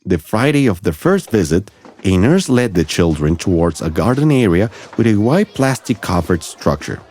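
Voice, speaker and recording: Male storybook narrator, low and mellow, with animated intonation for young listeners. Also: normal speed. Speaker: audiobook narrator. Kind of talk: scripted children's story narration.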